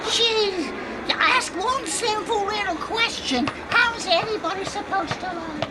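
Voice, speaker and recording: A pestering little kid in a radio comedy. The voice is extremely high, slow and whiny, in a very noisy recording with a crowd behind it. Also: female voice. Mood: put out. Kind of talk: old-time radio comedy acting.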